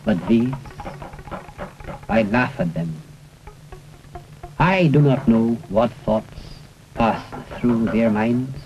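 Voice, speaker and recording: A man giving a solemn, declamatory recitation of political verse in measured phrases with deliberate pauses, on an old film soundtrack. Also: medium pitch, lively intonation, a little mellow, highs cut off. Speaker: poetry reciter. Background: music.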